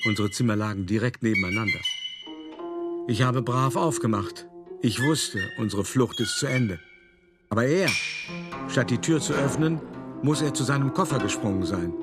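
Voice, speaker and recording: An actor playing an elderly, wry and weary art dealer who recounts his life in a measured, dramatic way in a radio play monologue. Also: mid-range voice, animated, over loud music, natural voice. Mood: tense recounting. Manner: measured, dramatic, cultivated raconteur.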